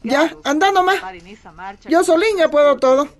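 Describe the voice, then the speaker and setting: A woman's voice: high voice, normal speed, close to an everyday voice. A woman playing a feisty, scolding elderly grandmother in a radio soap, with a Bolivian accent.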